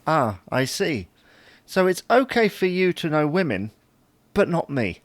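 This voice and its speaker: A man's voice: on the high side, very animated, measured pace, no echo. Man acting a wry, put-upon husband, swooping intonation. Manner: dry, grudging, resigned. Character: mild, baffled, wry henpecked husband. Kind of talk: domestic audio sitcom acting.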